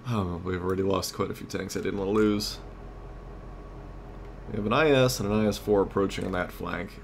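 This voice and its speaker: A man's voice: sits low, steady tone, a little fast, creaky, slight background noise. A man giving casual gameplay commentary as a let's play host, thinking aloud in a conversational way.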